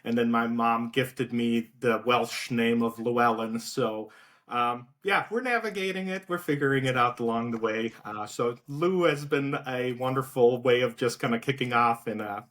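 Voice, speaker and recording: A man's voice: mid-range voice, normal speed. Light south african accent, guy in his thirties, easygoing guest, lots of ums. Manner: relaxed, many fillers and restarts. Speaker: guest, outreach director. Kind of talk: casual interview talk.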